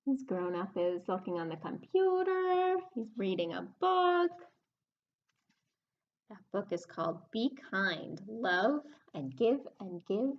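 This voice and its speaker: A woman hosting a children's story time, reading aloud in a sing-song, animated way and prompting young listeners with questions. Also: mid-range voice, slow, muffled recording.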